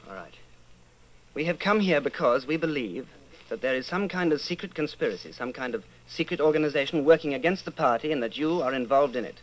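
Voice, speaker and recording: A man playing an earnest, resolute rebel with a deliberate delivery in an old-time radio drama. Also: fairly high pitch, lively intonation, close to an everyday voice, rough recording. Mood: earnest, tense. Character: earnest, resolute male rebel against the Party.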